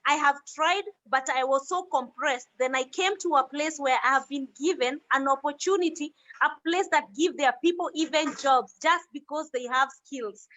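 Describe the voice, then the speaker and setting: A high, sharp-toned woman from Kenya speaking as a diaspora guest. She argues with passion and insists on being heard, pressing on even as the others try to cut in. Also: normal speed, dull audio. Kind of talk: heated panel discussion.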